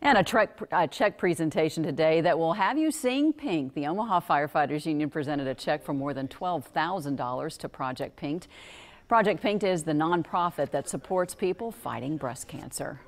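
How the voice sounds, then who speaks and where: A woman's voice: low voice, animated, normal speed. An American woman anchoring a local TV newscast, reading scripted stories. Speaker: news anchor.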